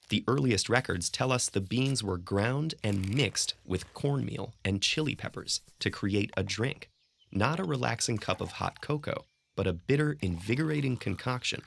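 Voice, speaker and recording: A man giving scripted narration for an educational video in a smooth, measured storytelling way. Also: on the low side, slight background noise. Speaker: documentary narrator.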